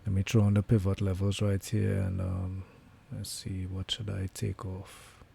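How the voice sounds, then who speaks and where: Hoarse man commenting live trades. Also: sits low, measured pace, a little hiss or hum. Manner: relaxed, thinking aloud with many fillers. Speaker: trading livestreamer.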